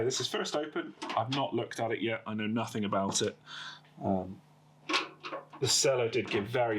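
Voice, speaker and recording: A man with a cold and a croaky voice, hosting a casual PC repair video and talking hesitantly with many fillers while he works. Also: on the low side, noisy background. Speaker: host of a PC repair channel. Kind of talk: casual hands-on repair talk.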